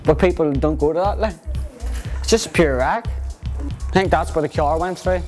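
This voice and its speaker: A man's voice: a little high, moderate pace, a little mellow, faint music behind. A young man, rambling through a documentary interview with many fillers. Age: young adult.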